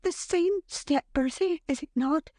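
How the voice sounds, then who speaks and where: A woman acts out an excitable little young wife from a storybook, high-voiced and swooping widely in pitch. She is warm-hearted and breathless, effusive in every phrase, and leans hard on repeated words. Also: a little slow, no room sound, studio quality. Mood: breathless excitement. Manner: breathless, effusive, emphatic repetitions.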